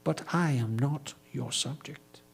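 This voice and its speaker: A man voicing a tiny mouse in a story, soft and small, cautious and self-possessed as it bargains. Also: medium pitch, slow, gravelly, slightly rough, full character voice. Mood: modest, firm.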